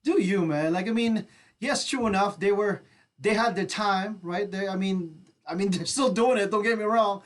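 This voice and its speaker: A man's voice: high voice, moderate pace. A man co-hosting a radio show, chatting in an informal, encouraging way. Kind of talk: casual chat.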